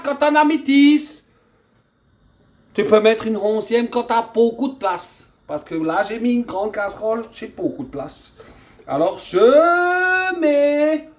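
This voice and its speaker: A woman with an Alsatian accent hosting a comedic cooking demonstration, joking and chatting straight to the viewer. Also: fairly high pitch. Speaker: cooking video host.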